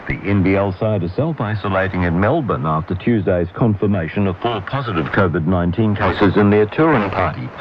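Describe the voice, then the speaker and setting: A man reads the radio news in a measured, formal way. His voice sits very low. It comes through a muffled shortwave signal buried in loud hiss. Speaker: newsreader. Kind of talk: scripted radio news bulletin.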